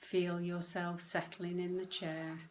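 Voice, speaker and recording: Woman, meditation guide, lowish voice, very slow pace, gentle, short phrases, long pauses. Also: flat delivery, slight background noise. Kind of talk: guided meditation, soothing instruction.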